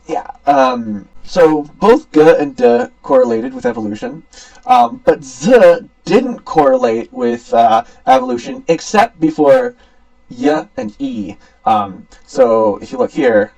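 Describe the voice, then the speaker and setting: A man's voice: on the high side, big pitch swings, unhurried, creaky, darkish tone, distant mic, poor audio. A young man giving an academic student presentation in an informal, somewhat hesitant explaining manner. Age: young adult.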